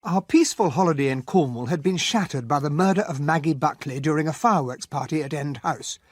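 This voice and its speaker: An actor playing an earnest, proper English gentleman sidekick in a radio drama, husky-voiced, polite and slightly flustered. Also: fairly high pitch, expressive, fairly quick, dry recording, studio quality. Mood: measured narrating. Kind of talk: radio drama acting and narration.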